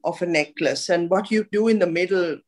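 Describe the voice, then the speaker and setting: A woman's voice: lowish voice, fairly quick, darkish tone. A middle-aged or older woman from Sri Lanka, telling her story conversationally as a podcast guest.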